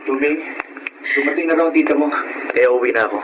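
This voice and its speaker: Bashful, good-hearted Filipino taxi driver voiced by a man, slightly high, radio serial, audible noise. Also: fast, muffled recording, close to an everyday voice. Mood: friendly, obliging. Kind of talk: Filipino radio drama acting. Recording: noisy radio drama recording.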